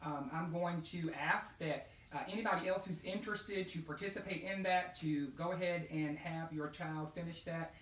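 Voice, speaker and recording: A man's voice: a little high, steady tone, moderate pace, hardly any pauses, a bit bright, a little hiss or hum. Male educator hosting a children's read-aloud, encouraging and questioning, recorded in a roomy space at some distance from the mic. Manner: encouraging, asks questions and answers them himself. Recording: roomy echo, distant microphone.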